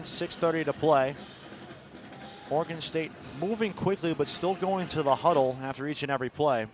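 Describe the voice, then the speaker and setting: Higher-pitched American football radio commentator man. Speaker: play-by-play radio sports commentator. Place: football stadium broadcast position. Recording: outdoor stadium background noise.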